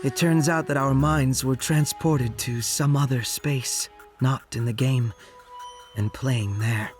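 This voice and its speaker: A man's voice: medium pitch, fairly even intonation, slow pace, natural voice. A man playing a level-headed young ninja in an anime game cutscene, explaining things with composure. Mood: thoughtful. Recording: music under the dialogue.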